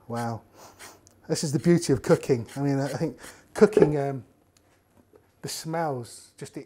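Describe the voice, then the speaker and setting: A man's voice: mid-range voice, background noise. A man, chatting casually to camera as he cooks in a home kitchen. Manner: chatty, relaxed, talks while working with his hands. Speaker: cooking show host. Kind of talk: casual cooking demonstration to camera.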